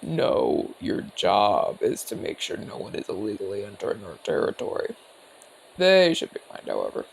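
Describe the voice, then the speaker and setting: A seasoned orc commander played by a man in a fantasy audio roleplay. His speech swings widely in pitch, with a gravelly edge, as he explains things in a casual, cocky, teasing way. Faint ambient noise sits behind him. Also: wildly swooping pitch, moderate pace, exaggerated voice. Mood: mildly exasperated. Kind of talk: ASMR audio roleplay acting.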